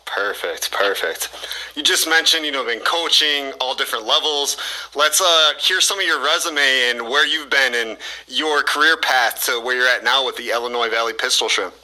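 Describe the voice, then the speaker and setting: Man, interviewer. Sharp, forward tone at mid pitch, conversational questioning. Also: moderate pace, low-quality recording.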